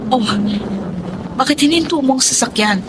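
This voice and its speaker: A woman's voice: sits high, normal speed, background noise, natural voice. An actress playing a torn young woman in a Filipino melodrama, emotive and hesitant, with a Filipino accent. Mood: uneasy, defensive. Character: torn young woman between two lovers. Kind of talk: Filipino radio-style melodrama acting.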